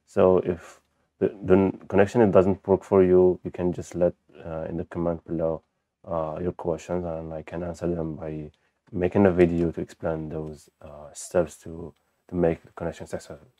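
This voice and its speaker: A man who speaks as a gives an unscripted software walkthrough, haltingly thinking aloud as he demonstrates. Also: low voice, level tone, no echo. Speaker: software tutorial presenter. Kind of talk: unscripted screen-recorded tutorial walkthrough. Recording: dull, lo-fi close microphone.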